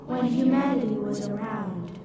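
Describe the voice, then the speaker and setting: A woman voicing a weary, mournful, starving alien creature in an audio drama, plaintive and drawn-out, with a dreamlike echo. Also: mid-range voice. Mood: weary pleading. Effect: heavy dreamlike echo. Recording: very echoey, distant, under loud music.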